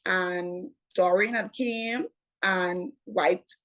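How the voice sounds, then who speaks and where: Slow-talking Bahamian woman in her twenties. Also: lowish voice, steady tone, a bit bright. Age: 20s. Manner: unhurried, repeats "what had happened was". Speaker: interview guest, entrepreneur. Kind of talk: casual interview storytelling.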